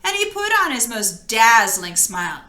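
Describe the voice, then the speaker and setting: A woman's voice: on the high side, normal speed. A woman reading a children's story aloud expressively, speaking straight to her listeners, in an echoey room. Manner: animated, addresses listeners directly. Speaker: storybook reader.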